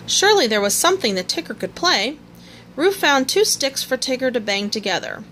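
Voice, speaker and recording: A woman reading a children's story aloud in an expressive storytelling manner. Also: high voice, a little fast, a little hiss or hum. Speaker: storybook reader. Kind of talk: scripted children's story narration, read aloud.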